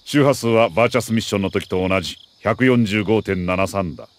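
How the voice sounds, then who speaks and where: An actor playing a middle-aged army major who briefs an agent in a crisp, authoritative, deliberate way in a video game. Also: male voice, lowish voice, natural voice. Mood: grave, commanding. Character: male military major, mission commander, precise and earnest. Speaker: mission commander briefing an agent.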